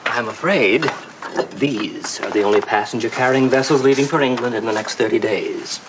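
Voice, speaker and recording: A man's voice: mid-range voice, moderate pace, close to an everyday voice. An actor playing a polite, reserved man in a vintage television drama. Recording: noisy old television soundtrack.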